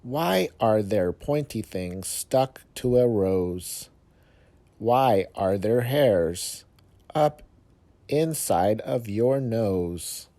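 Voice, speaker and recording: A man's voice: fairly low pitch, expressive, very slow, mellow, exaggerated voice. A man voicing a curious little girl from a storybook, asking eager, emphatic questions.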